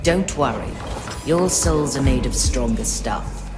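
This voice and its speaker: An actress playing the calm, lofty soul of a ghost ship in a video game, speaking in a formal, measured, instructive way. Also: female voice, a bass voice. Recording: echoing voice under loud game music.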